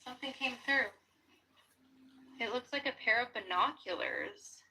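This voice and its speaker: A woman speaking as a paranormal investigator, asking questions out loud during an investigation. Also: a little high, wildly swooping pitch, unhurried, creaky. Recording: distant mic, faint room noise.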